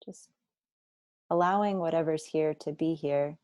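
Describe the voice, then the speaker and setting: Low, mellow woman guiding meditation. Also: no echo. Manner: slow, deliberate, with long pauses; gentle, unhurried instructing. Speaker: meditation teacher. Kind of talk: guided body scan meditation.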